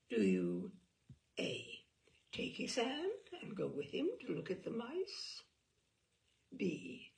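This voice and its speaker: A woman reading a book aloud as a storyteller, theatrical and expressive, with a wry, arch delivery. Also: fairly high pitch, creaky.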